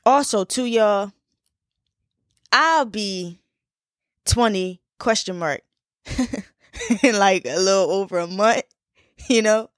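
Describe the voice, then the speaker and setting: A woman's voice: medium pitch, expressive, slow, long pauses, dry recording, studio quality. A woman in her twenties from Philadelphia, hosting a casual solo podcast in a chatty, laid-back way with lots of fillers and repeats. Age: 20s. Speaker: podcast host.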